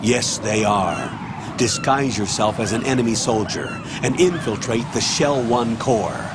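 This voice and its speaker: Casting: male actor as older military commander, middle pitch, stern, guarded, firm orders, game dialogue over noticeable noise. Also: unhurried, lightly acted. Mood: commanding. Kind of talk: video game radio briefing acting.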